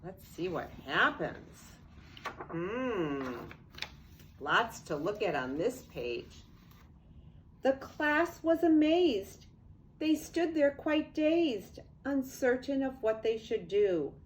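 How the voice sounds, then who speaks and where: An older woman, a grandmother, reads a picture book aloud to young children in an expressive, unhurried way with casual asides. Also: a little high. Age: 60s or older.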